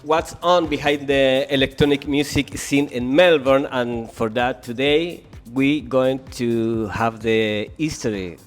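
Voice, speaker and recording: A male interviewer with a Spanish accent and a medium-pitched voice. His English is halting and he often stops to search for words. Faint music plays in the background. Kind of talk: interview host.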